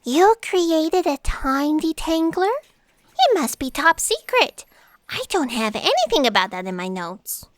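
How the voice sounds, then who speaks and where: Actress as chipper, easily offended office woman, audio drama, high voice, lively intonation, dry studio sound. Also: measured pace, no echo. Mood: curious. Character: perky, easily offended female bureaucrat at destiny's office.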